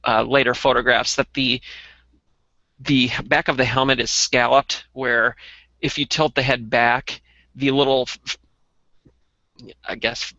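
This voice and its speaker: A man in a casual chat about collectible toys, reviewing them as a co-host with halting, detailed explanations. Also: mid-range voice, level tone, sharp tone, no echo, dull audio. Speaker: toy reviewer and podcast co-host.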